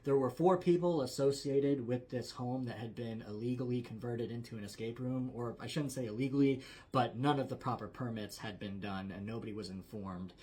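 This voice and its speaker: A man's voice: mid-range voice, brisk, darkish tone. A man narrating a true story like a campfire storyteller, in a dramatic yet conversational way with emphatic phrasing. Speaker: storyteller, host.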